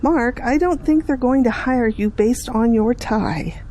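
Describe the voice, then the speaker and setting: A woman playing a patient, devout middle-aged mother, gently encouraging in an audio drama. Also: a little high, unhurried, a little hiss or hum, close to an everyday voice. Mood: practical.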